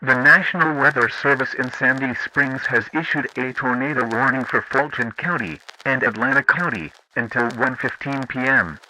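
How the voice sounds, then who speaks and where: A man's voice: mid-range voice, moderate pace, hardly any pauses, bright, forceful tone, a little hiss or hum, poor audio. A man's synthetic-sounding voice reading scripted emergency weather warnings as an alert announcer.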